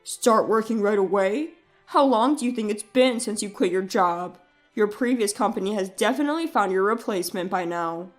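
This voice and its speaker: A woman's voice: mid-range voice, animated, normal speed, soft background music, pitched below the performer's own voice, natural voice. A woman voicing a defensive cheating husband in a scripted story, pleading and condescending, with emphatic delivery.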